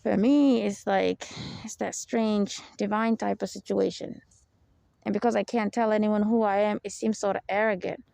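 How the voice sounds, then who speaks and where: A young adult woman hosting a personal podcast, with a low, deep voice and a rambling, confessional way of talking, full of "you know" and soft laughs. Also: steady tone, normal speed, gravelly, dry recording. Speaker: podcast host.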